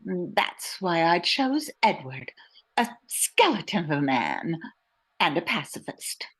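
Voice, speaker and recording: A woman plays a proud small-town lady in an amateur radio drama. The delivery is slow and deliberate, with principled disdain. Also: on the low side, sing-song, fairly bright tone, husky, exaggerated voice. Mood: firm, disdainful. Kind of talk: amateur radio play acting.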